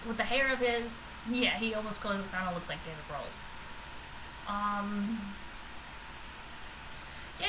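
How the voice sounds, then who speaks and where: A woman talking casually in a reaction vlog, rambling with many fillers and restarts, in a loud voice. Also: medium pitch, background noise. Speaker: vlogger reacting to podcast episodes.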